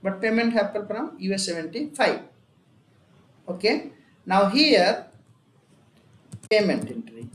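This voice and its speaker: An instructor with an Indian accent gives a software tutorial in a repetitive, step-by-step way. The voice sits at a middling pitch. The recording is echoey, with faint noise behind it. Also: very animated, unhurried, long pauses. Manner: repetitive, emphatic step-by-step explaining with frequent check words. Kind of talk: software and accounting tutorial, explaining a worked example.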